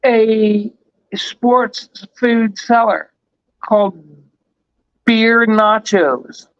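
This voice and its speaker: A man who has been drinking, rambling with profane, animated riffs in a casual chat. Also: high-pitched, very slow, smooth, no echo. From Texas. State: tipsy from drinking. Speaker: show host. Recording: dry, slightly dull lo-fi sound.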